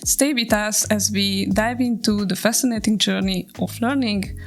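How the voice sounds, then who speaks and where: A woman's voice: medium pitch, fairly even intonation, moderate pace, soft background music. A woman hosting an interview podcast, presenting in a prepared, orderly style. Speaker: podcast host. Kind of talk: scripted introduction and interview questions.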